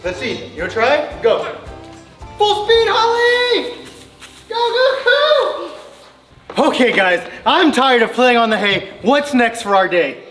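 A woman narrating a family vlog from behind the camera on a farm, excitedly cheering her kids on as they play. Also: high-pitched, slow pace, faint music behind. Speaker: mother and family vlogger. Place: outdoors on a farm, barn and lakeside.

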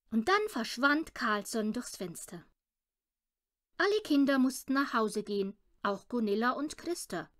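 Woman reading a kids story, high voice, super animated intonation. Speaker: audiobook reader. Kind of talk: expressive children's audiobook narration.

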